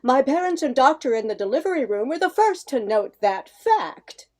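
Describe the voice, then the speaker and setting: Radio play: self-possessed young female academic, dry and teasing; older woman performing, high, animated intonation. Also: moderate pace. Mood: wry, self-assured. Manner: composed, dry, pointed. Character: poised young woman professor, coolly teasing. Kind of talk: amateur radio play acting.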